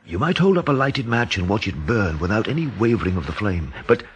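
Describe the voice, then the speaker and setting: An actor playing a sceptical, uneasy gentleman in an audio drama, with a husky voice and a halting, intense delivery. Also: on the low side, big pitch swings, slight background noise. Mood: haunted. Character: sceptical, uneasy male gentleman named Lewis.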